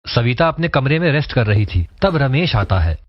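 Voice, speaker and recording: A man narrates a cartoon family story from a script in a mid-pitched voice. The recording is dry and a little dull. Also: very animated, moderate pace, dry recording.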